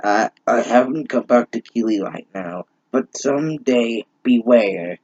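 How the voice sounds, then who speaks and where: A man's voice: mid-range voice, a little slow, a little mellow, husky, no echo, highs cut off. A man playing a menacing, vengeful villain father with a boastful, taunting delivery in a story reading.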